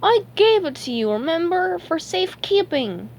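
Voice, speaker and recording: Woman voicing an excitable high-pitched young male cartoon sponge. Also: moderate pace, slight background noise, full character voice. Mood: urgent, pleading. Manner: dramatic, wailing and exclaiming.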